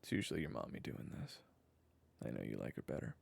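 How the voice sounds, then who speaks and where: Very deep gravelly haunted assassin played by a man. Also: sing-song, a little mellow, exaggerated voice. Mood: tired, awkwardly tender. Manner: low, halting, dry and confessional. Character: weary, haunted assassin and new father, male. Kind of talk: first-person audio roleplay narration. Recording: echoey, long reverb.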